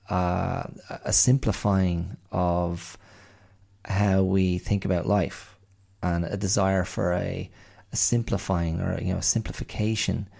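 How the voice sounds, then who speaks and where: A man with a middle-class Dublin accent talks through his thoughts in a wry, musing monologue. His voice sits very low with a gravelly fry, dark and mellow in tone. He takes his time, unhurried and reflective. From Ireland. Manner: musing, wry, thinks aloud. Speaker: podcast host.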